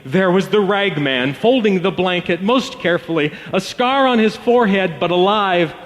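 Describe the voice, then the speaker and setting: A man telling a devotional parable as a dramatic storyteller, theatrical and emotive, with weighty pauses. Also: high-pitched, animated. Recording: long reverb, music bed behind voice. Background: acoustic guitar music.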